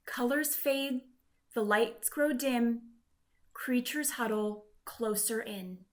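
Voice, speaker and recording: A woman narrating a rhyming children's picture book in a measured, expressive storytelling manner. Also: a little high. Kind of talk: scripted rhyming story read-aloud for children.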